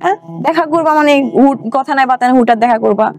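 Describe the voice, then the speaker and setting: A woman's voice: sits high, quick pace, a little mellow, soft background music. A young adult woman with a Bangladeshi accent, a guest recounting her own life in a conversational way. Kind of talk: conversational personal storytelling.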